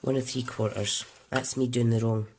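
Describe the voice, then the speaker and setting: A woman's voice: extremely low pitch, steady tone, moderate pace, background noise. A woman from the UK demonstrating a papercraft project, congested and coughing, chatty and correcting herself as she goes. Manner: chatty, stops to self-correct and explain. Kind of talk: casual craft tutorial.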